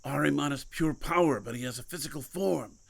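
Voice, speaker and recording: A man playing a secretive, commanding, guilt-burdened mentor in an audio drama, speaking deliberately and cryptically. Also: fairly high pitch, creaky. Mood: grave, explanatory. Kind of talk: audio fiction podcast acting.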